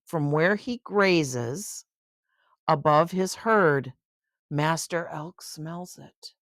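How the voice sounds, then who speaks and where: An older woman reading a children's picture book aloud in an expressive, unhurried way, with chatty asides of wonder. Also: low-pitched, dry recording, clean studio sound.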